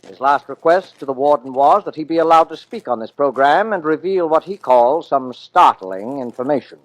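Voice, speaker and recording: A man introduces a 1940s radio drama. His voice is a little high for a man, and his scripted delivery is formal and dramatic. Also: expressive, normal speed, no echo, rough recording. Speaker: radio show presenter.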